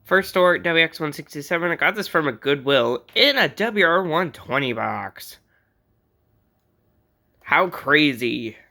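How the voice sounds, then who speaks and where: American accent, mid-range pitch, casual vlog chat, rambling, jokey, easily sidetracked, unscripted, hobbyist showing a collection. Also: lively intonation, a little slow, vocal fry, bright, forceful tone. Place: indoors in a bedroom.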